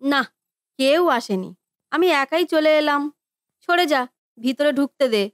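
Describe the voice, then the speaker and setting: A woman's voice: sits high, animated, unhurried, no room sound, studio quality. A woman playing a bossy, demanding eldest sister-in-law in a cartoon family drama, brusque and entitled in manner.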